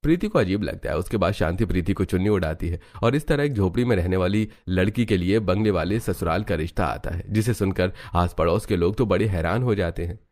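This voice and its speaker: A man's voice: low voice, fairly quick, hardly any pauses, darkish tone. A man narrating a scripted moral story as its storyteller.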